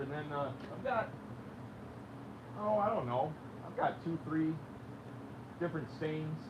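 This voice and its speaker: American man chatting casually, noisy workshop. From United States. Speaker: livestream host, DIY woodworker. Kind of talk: casual unscripted livestream chat while working. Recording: noisy workshop, machinery rumble.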